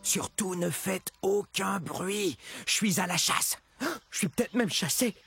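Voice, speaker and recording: A man's voice: high-pitched, a little slow, vocal fry, loud music behind the voice. A man voicing a small, sneaky, proud hunting dinosaur who confides self-importantly in viewers in a children's cartoon. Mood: secretive. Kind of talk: animated children's cartoon narration.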